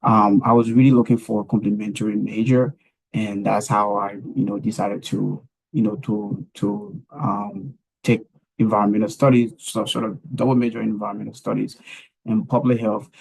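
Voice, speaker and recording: A man from Liberia, speaking as a panelist about his career path in a halting way, with many fillers and restarts. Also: fairly low pitch, little pitch movement, vocal fry, darkish tone, husky, rough recording.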